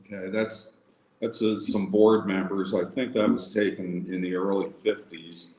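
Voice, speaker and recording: A man presenting an informal livestream lecture as a society president, talking conversationally and haltingly. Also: deep voice, little pitch movement, mellow. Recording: roomy, echoing livestream audio.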